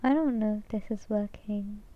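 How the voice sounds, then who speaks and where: A woman's voice: medium pitch, moderate pace, phone-quality sound. A young woman streaming as a VTuber, chatting casually and hesitantly with lots of fillers, her voice nasal from a cold. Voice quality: hesitant, many fillers and restarts. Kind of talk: rambling casual chat.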